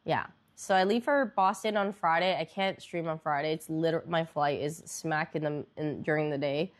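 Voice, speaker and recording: A woman's voice: low voice, normal speed. A young woman streamer chatting casually with viewers, congested and nasal, rambling with lots of fillers.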